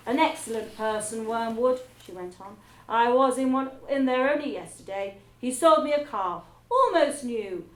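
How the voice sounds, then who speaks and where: A woman voicing a bullying, barking headmistress who hardly ever speaks in a normal voice, loud and impatient. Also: fairly high pitch, lively intonation, normal speed, hardly any pauses, natural voice. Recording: echoey room, background ambience.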